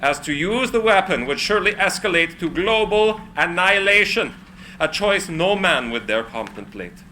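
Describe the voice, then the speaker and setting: Guy playing eccentric idealist inventor, very bright sharp voice, kinda high, theatrical stage reading. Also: lively intonation, normal speed, a little hiss or hum, low-quality recording. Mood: grand, solemn. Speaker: actor. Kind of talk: live screenplay reading, dramatic.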